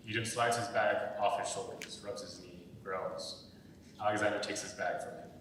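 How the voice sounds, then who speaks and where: A man's voice: fairly even intonation, normal speed. Young man narrating, very echoey and distant. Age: young adult. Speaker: stage-direction narrator. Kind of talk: scripted narration at a dramatic reading. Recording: very echoey, distant, rumbling background noise.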